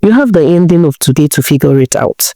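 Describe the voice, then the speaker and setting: A woman's voice: deep voice, animated, brisk, dark tone, no room sound, studio quality. A woman storyteller voicing a fed-up, resolute husband, stern and reproachful, with a Nigerian accent. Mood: firm, dismissive.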